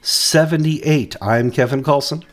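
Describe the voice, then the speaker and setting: A middle-aged man hosting a casual news chat over a video call, joking and quick with asides, his voice deep from a cold he is getting over. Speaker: host. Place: home room, video call.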